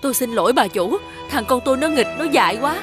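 A woman voicing a meek, weary widowed mother in an animated folktale, humble and resigned as she speaks. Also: sits high, fast, over music. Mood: apologetic.

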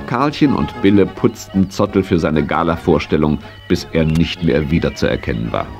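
A man's voice: a bass voice, animated, moderate pace, a little mellow, faint music behind. A man acting as the narrator of a children's radio play, telling the tale in scripted storytelling style. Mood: cheerful.

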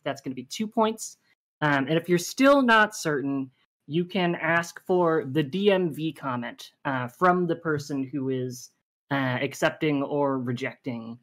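A woman hosting a casual livestream game show, explaining the rules at length with many fillers. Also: low-pitched.

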